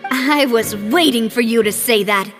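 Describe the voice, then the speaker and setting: A woman plays a young heroine making her case in a dramatic game cutscene. Her voice is high, earnest and determined, with music clearly playing behind her. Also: measured pace, lightly acted. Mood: triumphant. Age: young adult. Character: passionate, determined young woman accuser seeking justice.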